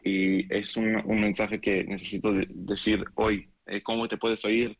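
Deep-voiced young Israeli man, dull audio. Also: flat delivery, vocal fry, no echo. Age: 20s. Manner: halting non-native speech, searching for words. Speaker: interviewee giving an eyewitness account. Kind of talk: unscripted interview. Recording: dull, band-limited remote call audio.

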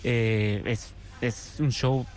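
A man with a Venezuelan accent answering interview questions casually, relaxed and a little hesitant, often repeating words. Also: medium pitch, drawn-out words. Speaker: circus artist, interview guest.

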